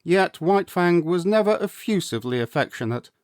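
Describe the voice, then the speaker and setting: A man narrating a classic novel as a storyteller, with measured, deliberate phrasing and dramatic pauses. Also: high voice, animated, no echo, clean studio sound. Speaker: storyteller, audiobook reader.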